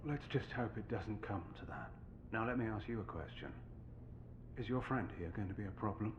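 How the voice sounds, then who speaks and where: Actor as grave, low-voiced, defensive male scientist. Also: natural voice. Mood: defensive, measured. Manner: measured, grave, self-justifying. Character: defensive, weary male scientist leading a secret project. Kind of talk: dramatic video game dialogue, expository. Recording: muffled game audio with clear background noise.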